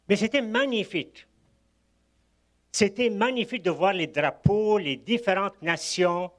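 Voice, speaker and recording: An older man from Egypt, a guest preacher giving a sermon, telling anecdotes with chuckling asides. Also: high-pitched. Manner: warm storytelling with asides and chuckles.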